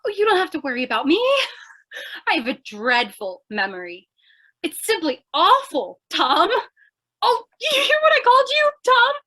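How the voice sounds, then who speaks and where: A woman plays a plucky radio-drama reporter with a very high, bright voice. She chatters theatrically and sounds naive and scatterbrained, her pitch leaping all over as she talks her way through danger. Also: big pitch swings, normal speed, heavily acted. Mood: flustered, wheedling. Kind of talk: old-time radio screwball drama acting.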